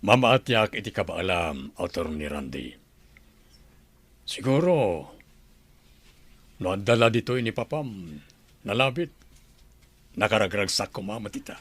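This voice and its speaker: A man playing a middle-aged, fatherly, principled governor in an audio drama, speaking in a measured, earnest way. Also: on the low side, expressive, fairly bright tone, no echo. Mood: calm, authoritative. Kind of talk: Ilocano audio drama acting.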